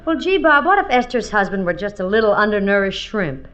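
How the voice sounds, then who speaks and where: On a dull, very noisy vintage radio recording with clicks, an American woman plays comedy sidekick in 1940s style. Her voice is fairly high and very animated, with an earnest gee-whiz delivery. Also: fairly quick. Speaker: comedy sidekick feeding straight lines.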